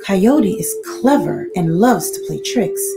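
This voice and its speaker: A woman's voice: medium pitch, animated, takes it slowly. A woman voicing a chorus of squabbling forest animals in an emphatic refrain, with music underneath. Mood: insistent.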